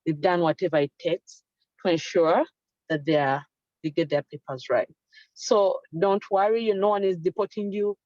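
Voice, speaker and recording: Woman panelist, low, earnest and explanatory, dull dry sound. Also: moderate pace, a little mellow, dry recording. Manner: earnest and explanatory, acts out example statements. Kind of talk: advisory talk in an online panel.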